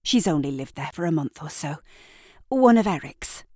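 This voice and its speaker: A woman voicing a smooth, gossipy female neighbour in an audio drama, assured and insistent. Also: low-pitched, lively intonation, normal speed, warm tone, dry recording, studio quality.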